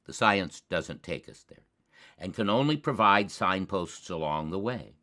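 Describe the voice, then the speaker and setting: A man hosting a show, delivering a scripted commentary monologue in deliberate, rhetorical phrases with dramatic emphasis. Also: low-pitched, lively intonation, pushed and bright. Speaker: host of a climate commentary show.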